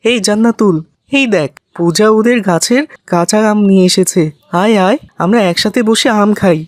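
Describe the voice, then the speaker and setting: A woman's voice: mid-range voice, normal speed, no echo, clean studio sound, natural voice. A woman voicing a friendly, fair-minded cartoon schoolboy who talks in an eager, reasoning way.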